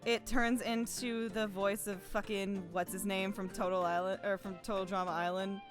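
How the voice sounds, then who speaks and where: A woman's voice: medium pitch, level tone, normal speed, music in the background. A woman streamer chatting casually and bluntly, swearing freely. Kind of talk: casual group chat over a game stream.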